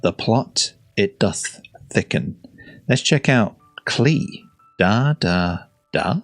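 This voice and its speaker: A man's voice: fairly low pitch, animated, extremely slow, gravelly, no room sound, clean studio sound, soft background music. A man hosting a casual, rambling reaction to game character trailers. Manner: rambling, easygoing, chuckling.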